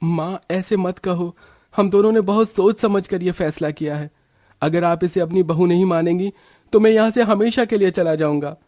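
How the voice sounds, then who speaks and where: An earnest young newlywed husband in a family moral story, played by a man. His voice is very high for a man, with a mellow tone. He speaks insistently in a dry, echo-free recording. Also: steady tone, moderate pace, natural voice. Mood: earnest, firm. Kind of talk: dramatised moral story acting.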